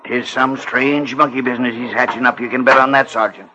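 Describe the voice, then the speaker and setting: A man's voice: mid-range voice, steady tone, fairly quick, natural voice. Man as hearty Irish handyman, folksy brogue, old radio play, dull hissy sound. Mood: confiding. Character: jolly heavy-set Irish handyman. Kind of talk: 1940s radio acting. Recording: lo-fi old radio broadcast with hiss.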